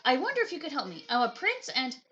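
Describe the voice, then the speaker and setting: A woman's voice: high voice, huge pitch swings, fast talker, fairly bright tone, muffled recording, soft background music, exaggerated voice. A woman voicing an eager, flustered and polite young frog prince in a picture book read-aloud. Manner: pleading, stammering politeness.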